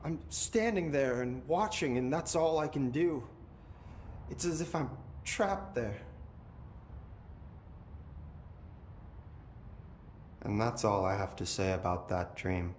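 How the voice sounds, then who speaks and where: An actor playing a possessed, dream-obsessed brother, eerily serene and unhinged, musing in slow, deliberate fragments in a video game. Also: male voice, medium pitch, lively intonation, reverberant, slight background noise, lightly acted. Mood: agitated, trapped. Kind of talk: cinematic game dialogue, rambling monologue.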